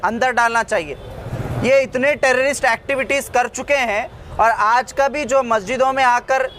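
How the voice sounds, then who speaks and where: Male spokesman from India, very high voice, deliberate and condemning political address, light street noise. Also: a bit bright. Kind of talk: impromptu political statement to press.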